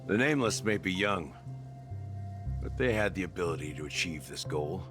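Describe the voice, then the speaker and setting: A man's voice: low-pitched, lively intonation, moderate pace. An actor playing a wry, world-weary male outcast in a game, musing in a drawl as if to an old comrade. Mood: wry, reflective. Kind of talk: dramatic game monologue. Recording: clear music behind the voice. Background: music.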